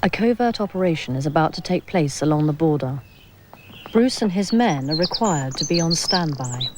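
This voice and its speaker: A woman narrating a documentary in a measured, matter-of-fact way. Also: deep voice, expressive, noisy background.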